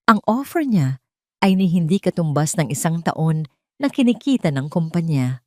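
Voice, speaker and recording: A Filipino woman voicing a loyal female friend in an audio drama, serious, firm and quietly indignant. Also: deep voice, big pitch swings, normal speed, dry recording, studio quality. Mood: quiet indignation.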